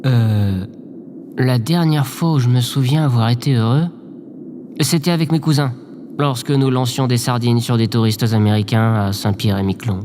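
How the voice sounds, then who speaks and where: A man's voice: medium pitch, moderate pace, darkish tone, natural voice. A man playing a meek, dejected, obedient aide in an audio drama, formal, hesitant and deferential. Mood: glum, hesitant. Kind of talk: scripted audio drama acting. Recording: long hall reverb, clear background noise. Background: ambient noise.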